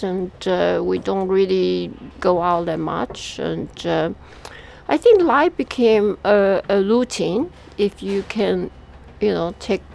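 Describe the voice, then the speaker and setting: A woman from Taiwan speaking as an interview guest in casual conversation, with halting, pause-filled non-native English. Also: medium pitch, vocal fry, a little mellow. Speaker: interview guest, media artist.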